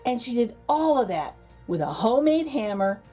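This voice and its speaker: A woman reading a children's picture book aloud as a storytime host, theatrically, with dramatic pauses and emphasis. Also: on the high side, very animated, mellow, husky, faint music behind.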